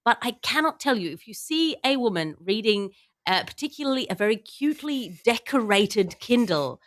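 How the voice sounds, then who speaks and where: A woman's voice: fairly high pitch, lively intonation, normal speed, no echo. A woman hosting a comic panel chat, speaking in a wry, articulate and wordy way. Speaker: podcast host.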